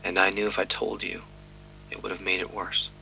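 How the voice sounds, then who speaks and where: An actor playing a weary, despairing police detective in an audio drama, terse and bitter. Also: male voice, deep voice, level tone, brisk, creaky, sharp tone, a little hiss or hum, exaggerated voice, low-quality recording. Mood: heavy regret.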